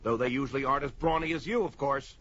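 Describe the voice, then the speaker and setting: An actor playing a bullying, mocking deckmaster on a slave ship, barking orders in a pulp fantasy audio drama. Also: male voice, mid-range voice, fast, a bit bright, natural voice. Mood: gruff mockery. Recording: dull, lo-fi, slightly noisy.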